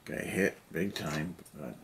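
A man's voice: sits low, slow pace, fairly bright tone, a little hiss or hum. A middle-aged man chatting casually and rambling while he paints miniatures in a cluttered basement hobby room, talking to a camera on the table. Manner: rambling, lots of "you know". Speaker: hobby vlogger. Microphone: camera on the table nearby.

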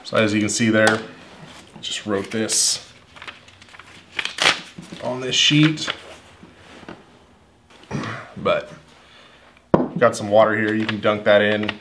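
A man's voice: lowish voice, background noise. A man in his thirties, an HVAC technician, casually going over his everyday tools in a workshop, rambling and repeating words as he thinks. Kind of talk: casual tool review talk to camera. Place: indoor workshop, at a wooden table.

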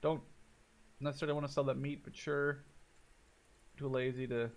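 A man in his twenties giving casual, excitable let's play commentary, thinking out loud as he goes. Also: mid-range voice, moderate pace. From Canada or the Netherlands. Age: 20s. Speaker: gaming video host.

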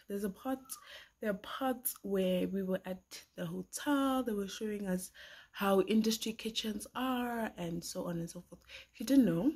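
A woman's voice: medium pitch, husky. A woman in her twenties, a pastry chef student, chatting casually to her phone camera at home in a rambling way with lots of fillers. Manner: chatty, rambling, with frequent fillers and soft asides. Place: small indoor room at home. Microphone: phone camera at arm's length.

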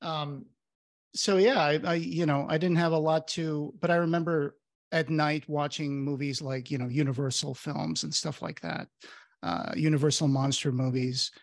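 A man's voice: fairly high pitch, steady tone, gravelly, no room sound, studio quality. A man from Portugal whose first language is Portuguese, co-hosting a casual interview over a video call with headphones on. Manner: friendly, quick agreeing backchannels. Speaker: podcast co-host. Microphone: headphones and webcam on video call.

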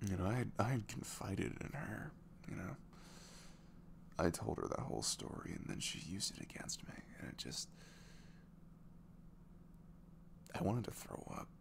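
Guy acting as a bashful single dad, really low creaky voice, halting soft romantic asmr roleplay, bit of noise behind. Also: big pitch swings, full character voice. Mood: hurt, confiding. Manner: hesitant, soft-spoken, halting. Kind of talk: intimate ASMR audio roleplay, conversational.

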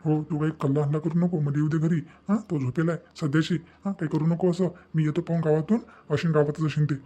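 Fast-talking, fretful village father acted by a man. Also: on the high side, fairly even intonation, dark tone, natural voice. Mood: worried, hushed. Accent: rural Maharashtrian. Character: worried village father covering up a scandal. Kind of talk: Marathi comedy cartoon dialogue.

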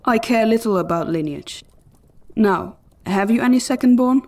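A woman's voice: on the high side, normal speed, darkish tone. An actress playing a gracious, self-assured noblewoman in a fantasy audio drama, courteous and frank. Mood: poised, courteous. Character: gracious, self-assured highborn lady seeking a ward.

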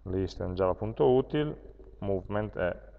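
A lower-pitched Italian man talks a class through writing code, pausing and filling gaps while he thinks aloud. The capture is dull and lo-fi, with a long hall echo trailing each phrase. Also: animated, creaky. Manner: thinks aloud while typing code, many pauses and fillers. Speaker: university lecturer. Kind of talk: live-coding programming lesson.

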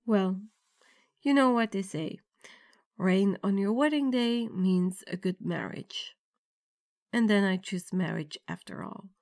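A woman's voice: mid-range voice, slow pace, pauses often, a little mellow, no echo, studio quality. A woman playing a nervous, affectionate young bride-to-be in an ASMR girlfriend roleplay, with a tender, intimate delivery. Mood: nervous, excited. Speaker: roleplay audio performer.

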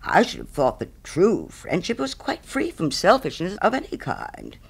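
A man's voice: sits high, wildly swooping pitch, normal speed, gravelly, slightly rough, pitched above the performer's own voice, exaggerated voice. A man voicing a smug, selfish miller who preaches friendship in a pompous, moralizing way, as a storybook character. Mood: huffy. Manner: pompous, self-righteous, moralizing.

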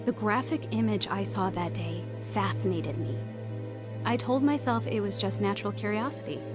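A woman's voice: mid-range voice, close to an everyday voice. An actress playing a troubled young woman recalling her secretive teen years in a scripted audio drama. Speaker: narrator and lead actress.

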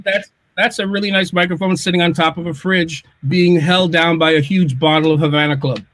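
A middle-aged man from Canada, a music producer, telling relaxed stories over a video call from his home studio and often restarting his sentences. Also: fairly high pitch, a little hiss or hum. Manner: relaxed storyteller, halting restarts. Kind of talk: casual video-call chat.

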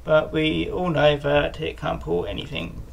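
A man with a croaky voice from a sore throat, chatting casually and self-deprecatingly with many ums while he works in a small room. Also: fairly high pitch, flat delivery, measured pace. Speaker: model railway hobbyist vlogger. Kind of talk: casual narration while working. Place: indoors in a small room, bedroom layout. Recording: noisy home camera recording.

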